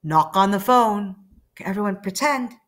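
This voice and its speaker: Animated woman reading to children. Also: mid-range voice, normal speed. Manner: animated, coaxing listeners to join in. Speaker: children's storyteller and teacher. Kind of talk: children's read-aloud. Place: small room indoors.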